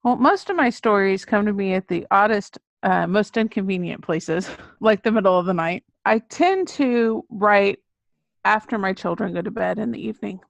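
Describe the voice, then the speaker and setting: A woman's voice: medium pitch, animated, normal speed, gravelly, no echo. A middle-aged American woman, a children's book author, talking about her writing as an interview guest. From Texas, United States.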